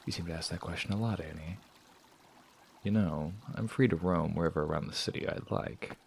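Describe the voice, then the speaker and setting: A man playing a sly, prying traveling performer, deep and gravelly. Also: brisk, a little hiss or hum. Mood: teasing, prying. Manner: teasing, probing, smooth-talking. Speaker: traveling performer in an audio drama. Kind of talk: audio drama acting.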